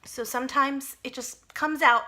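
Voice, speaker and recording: A woman's voice: high voice, fairly even intonation, a little slow, sharp tone. A woman in her forties, a Spanish speaker from childhood, chatting casually and a bit rambly in a personal vlog. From United States. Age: 40s. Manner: chatty, rambling, self-deprecating with frequent fillers. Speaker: vlogger.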